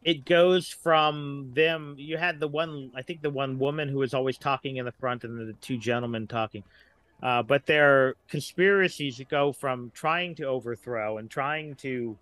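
Bright-voiced middle-aged man chatting. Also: mid-range voice. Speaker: podcast guest. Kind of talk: casual discussion.

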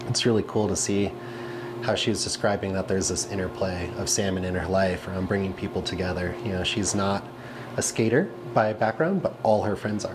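A man's voice: sits low, background noise. A man in his thirties giving a casual, unscripted product presentation as a brand representative, chatty and conversational with frequent filler phrases. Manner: conversational, peppered with "you know". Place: indoor showroom with wooden pallet wall.

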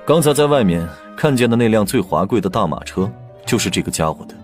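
A man's voice: lowish voice, expressive, quick pace, a little mellow, soft background music, pitched above the performer's own voice, natural voice. A man voicing a gossipy, knowing young prince in an audiobook, chatty and emphatic. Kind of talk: audiobook character dialogue.

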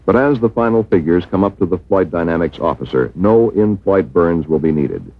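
An American man reading a scripted educational film narration in a measured, formal manner. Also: deep voice, slightly rough, no room sound. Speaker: documentary narrator. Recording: muffled, worn 1960s film soundtrack.

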